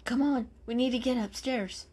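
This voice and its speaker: A woman voicing a calm, sensible male cat, matter-of-fact and gently teasing, in a storybook reading. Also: a little high, normal speed, slightly rough, voice pushed up.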